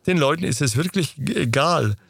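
A man answering interview questions in a steady, lecturing manner. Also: a little high, normal speed, creaky, husky. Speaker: interview guest.

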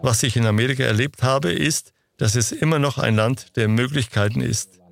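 A man answering interview questions in a steady, lecturing manner. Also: medium pitch, moderate pace, vocal fry. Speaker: interview guest.

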